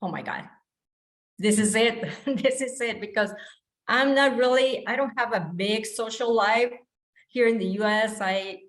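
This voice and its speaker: A woman's voice: a little high, unhurried. Woman; earnest, light laughs; echoey room on a call. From Costa Rica. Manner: earnest, laughs between phrases. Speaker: guest sharing her story.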